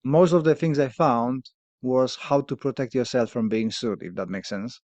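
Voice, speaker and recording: A man from Poland hosts a podcast in English, his second language, with a clear Polish accent. He speaks in a mid-range voice, hesitant and thoughtful, and often stops for fillers and a quiet laugh. The sound is dry and somewhat dull, like a lo-fi podcast recording. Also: mid-range voice, moderate pace, no room sound. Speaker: podcast host. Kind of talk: candid casual conversation.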